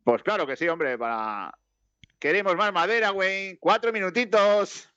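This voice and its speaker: A man's voice: high-pitched, measured pace, dry recording, lo-fi sound. Spanish man calling a match, rambling. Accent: Spanish from Spain. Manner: rambling, lifts to excited calls on attacks. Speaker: football commentator. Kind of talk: casual live match commentary.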